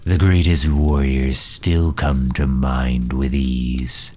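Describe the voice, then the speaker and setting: A man playing a grave, haughty dark knight who absorbs souls, speaking formally and deliberately with a deep, breathy, husky voice in an audio roleplay. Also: flat delivery, faint music behind, full character voice. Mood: brooding, solemn. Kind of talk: slow dramatic audio roleplay monologue.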